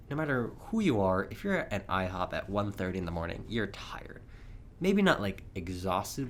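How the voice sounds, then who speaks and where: Rambling young man, slightly hoarse. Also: on the low side, slight background noise. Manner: rambling, chatty, with frequent chuckles. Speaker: podcast host. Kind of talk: casual solo monologue.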